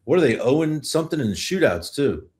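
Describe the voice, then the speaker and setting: A man hosting a casual sports podcast, speaking informally and haltingly as he works out his thoughts. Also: a little high, very animated, a little mellow.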